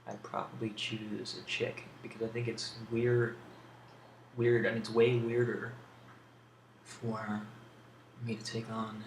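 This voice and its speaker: A soft-spoken man answering questions in a casual ASMR style, with a hesitant, musing delivery and pauses between thoughts. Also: on the low side, completely flat delivery. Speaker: ASMR video creator answering a question tag. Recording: roomy echo with faint background hiss.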